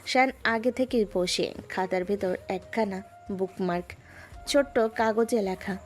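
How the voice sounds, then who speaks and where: A woman narrating a romantic audio story in a measured, lyrical way. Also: mid-range voice, faint music behind.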